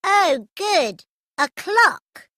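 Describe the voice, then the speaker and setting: A woman voicing a small, excitable male cartoon mouse with childlike, exaggerated exclamations. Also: extremely high pitch, drawn-out words, no room sound, clean studio sound, voice pushed up, exaggerated voice. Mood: pleased.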